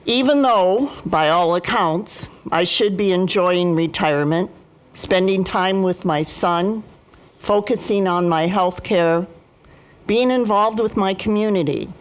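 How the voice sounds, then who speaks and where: An American woman in her seventies giving prepared personal testimony as a speaker, in a formal, deliberate and emphatic manner. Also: mid-range voice. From United States, Midwest. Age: 70s. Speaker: union retiree chapter president, guest speaker.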